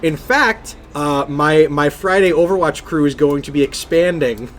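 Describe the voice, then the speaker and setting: A man's voice: a little high, normal speed, a little hiss or hum. A man co-hosting a video game podcast in casual chat, speaking emphatically and effusively and repeating phrases for stress. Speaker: podcast co-host.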